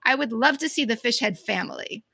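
Podcast co-host, woman, Boston accent, bright tone with some gravel, dry close sound. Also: on the high side, lively intonation, a little fast, no room sound. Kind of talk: casual podcast chat.

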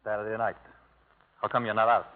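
An actor playing a bitter, brooding Italian-American ex-convict in a clipped, hard-boiled 1950s radio drama style. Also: male voice, fairly low pitch, flat delivery, brisk, natural voice. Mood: bitter, guarded. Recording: old tinny radio broadcast, music behind.

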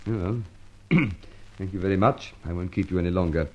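Hesitant police inspector, dull old recording. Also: male voice, sits low, brisk, darkish tone. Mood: dry, suspicious. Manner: hesitant, stammering, apologetic, throat-clearing. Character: polite, bumbling yet probing male police inspector. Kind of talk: old-time radio drama acting.

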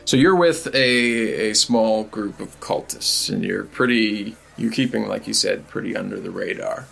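A man narrating as game master of a tabletop game, in loose, halting narration full of fillers. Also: on the low side, gravelly, fairly bright tone, soft background music.

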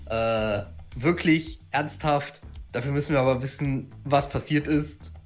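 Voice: medium pitch, a little slow, faint music behind. A player in a tabletop roleplaying session over voice chat, speaking earnestly and hesitantly, often stammering. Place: online voice chat.

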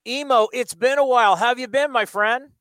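Chatty guy broadcaster in a press box, high smooth voice. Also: brisk, a bit bright, no echo, studio quality. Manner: chatty, enthusiastic. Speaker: radio broadcaster. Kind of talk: broadcast interview.

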